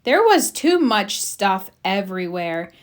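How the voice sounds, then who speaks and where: A woman reading a children's storybook aloud, with expressive, emphatic narration for young listeners. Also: mid-range voice, slow. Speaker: storybook reader.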